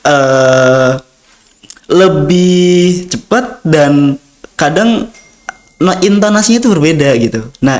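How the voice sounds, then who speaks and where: A young man with an Indonesian accent, a student guest telling his experiences in a casual interview, rambling with many fillers and acting out dialogue. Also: mid-range voice. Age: young adult.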